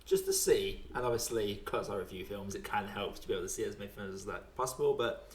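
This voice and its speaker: A man's voice: lowish voice, sing-song, fast talker, echoey room. A man in his twenties chatting casually as a podcast host, informal and often chuckling as he talks. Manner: chuckles often while talking, informal swearing. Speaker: podcast host and film reviewer.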